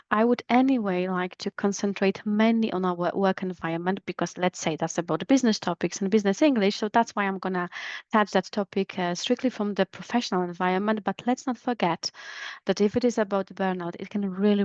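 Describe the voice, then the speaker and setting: A woman's voice: medium pitch, fairly quick, no room sound, dull audio. Polish-accented woman, thoughtful podcast teacher. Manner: thoughtful, many fillers and hedging phrases. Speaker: business English trainer. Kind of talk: solo podcast explanation.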